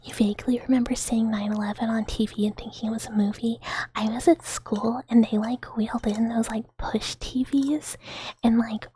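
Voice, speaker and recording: A woman's voice: on the high side, steady tone, normal speed, dark tone, husky. A woman in her thirties, whispering and giggling through a casual livestream chat as she answers comments from viewers. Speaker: streamer.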